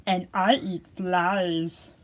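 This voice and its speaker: A woman voicing a brash, boastful big-mouthed frog puppet for a children's storytime, loud and drawn out. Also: lowish voice, fairly even intonation. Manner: loud, drawn-out, shouting questions.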